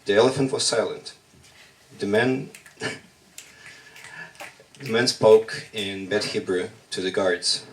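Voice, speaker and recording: A man whose first language is Russian performs a spoken-word poem in measured, halting short phrases at a slam poetry workshop. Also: lowish voice, recorded from a distance, low-quality recording.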